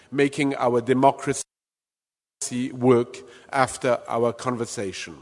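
A man hosting a library event, reading a formal introduction, then ad-libbing haltingly. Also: mid-range voice, long reverb tail. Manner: halting and self-deprecating when ad-libbing. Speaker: host. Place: large public library event hall.

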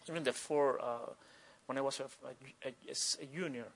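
Man, Cuban accent, native Spanish speaker, halting broken phrases, creaky voice, live talk with some background noise. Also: medium pitch, steady tone. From Cuba. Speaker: author, guest speaker. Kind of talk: spontaneous answers at a live literary talk.

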